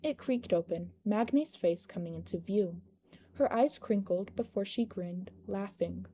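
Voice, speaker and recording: A woman's voice: mid-range voice, measured pace, mellow. A woman reading a scripted fan-fiction story aloud as its narrator. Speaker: podfic narrator. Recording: noticeable background noise.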